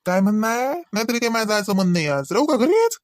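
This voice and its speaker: Performer as sarcastic, grumbling cartoon husband. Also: male voice, sits high, sing-song, fast, dry recording, studio quality. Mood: teasing, agreeable. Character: grumbling, teasing middle-class husband. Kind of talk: comedy cartoon voice acting.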